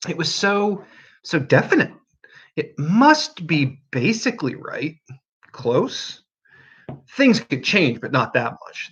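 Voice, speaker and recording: A man reading a novel aloud as an expressive narrator, with deliberate, dramatic pauses and emphasis. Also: fairly high pitch, dull audio.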